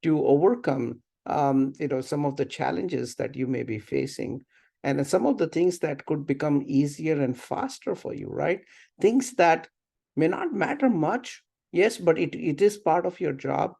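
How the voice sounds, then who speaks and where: A middle-aged man leading an informal online class discussion, halting as he repeats and rephrases himself. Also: on the high side, animated, gravelly, dark tone. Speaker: webinar instructor. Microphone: video call computer mic.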